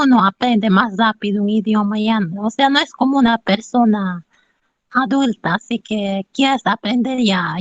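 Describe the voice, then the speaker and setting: Woman student, slightly high voice, dry lo-fi call audio. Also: dry recording. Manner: earnest, repetitive fillers. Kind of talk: answering in online class. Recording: dry dull lo-fi mic.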